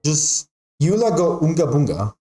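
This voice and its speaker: A man's voice: fairly high pitch, very animated, a little slow, mellow, soft background music. A man whose first language is Spanish, giving casual, rambling gaming livestream commentary with playful, sweary grumbling. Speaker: game streamer.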